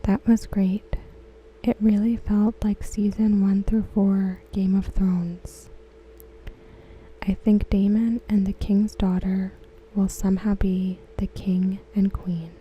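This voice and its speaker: A woman reading aloud in a soft, breathy, near-whispered ASMR style, gentle and measured, close to the microphone. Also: medium pitch, level tone, dull audio, slight background noise. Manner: gentle, hushed, measured delivery. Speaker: ASMR host. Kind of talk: softly spoken ASMR read-aloud of forum comments.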